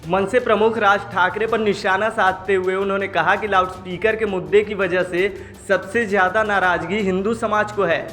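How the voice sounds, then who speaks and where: A man's voice: high-pitched, fairly even intonation, moderate pace, hardly any pauses, fairly bright tone. A man reading scripted news narration as a news presenter. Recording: music behind the voice. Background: background music.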